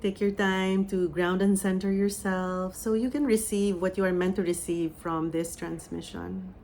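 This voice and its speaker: A woman with a Filipino accent, a psychic medium giving an oracle reading and channeling a message in a slow, soft, meditative way. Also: mid-range voice, steady tone, slight background noise. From Philippines.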